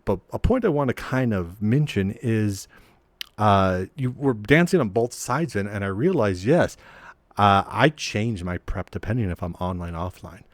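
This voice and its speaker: A man co-hosting a casual podcast, animated and self-deprecating, who restarts his sentences a lot. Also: on the low side, measured pace, dry recording. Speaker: podcast co-host and game master.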